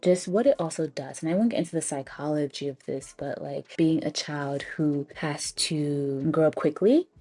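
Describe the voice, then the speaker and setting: A young American woman telling personal stories in a casual, reflective, conversational way, pausing for emphasis. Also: low-pitched, mellow, breathy edge, faint music behind. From Ghana and the Bronx, US. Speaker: personal finance storyteller.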